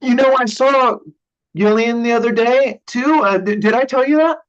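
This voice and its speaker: A man's voice: extremely high pitch, normal speed, clear, resonant tone, muffled recording. A man acting in a naturalistic play reading as an evasive, joking young brother who hides his drinking. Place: remote online staged reading.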